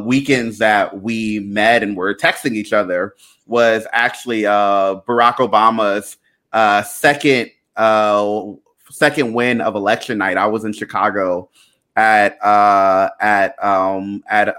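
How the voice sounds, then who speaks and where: A man in his thirties, a pastor born in Liberia and raised in Louisiana, talks as a podcast guest. His voice sits somewhat low, and his delivery is enthusiastic but halting, full of uhs and ums. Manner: warm, enthusiastic, halting with many fillers. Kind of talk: podcast interview.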